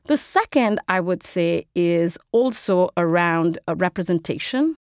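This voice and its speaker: A woman in her forties from India with an Indian accent, a guest in an interview talking in quick, animated bursts. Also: lowish voice, clear, resonant tone, no echo, studio quality. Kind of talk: casual interview conversation.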